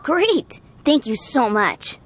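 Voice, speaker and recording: Eager high-pitched young knight-in-training girl. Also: sing-song, takes it slowly, a little hiss or hum, exaggerated voice. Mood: earnest, pleading. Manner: earnest, exclamatory, hesitant. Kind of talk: video game voice acting.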